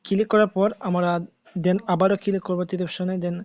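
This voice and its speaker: Man, high voice, tutorial narration, step-by-step instructional phrasing, very dry close sound. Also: level tone, fairly quick, a little mellow, no echo, lo-fi sound. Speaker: tech tutorial host. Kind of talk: step-by-step how-to video narration.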